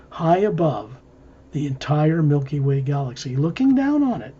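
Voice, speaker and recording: Husky, mellow man guiding a visualization. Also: on the high side, muffled recording. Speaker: guided meditation leader. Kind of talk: improvised guided visualization narration.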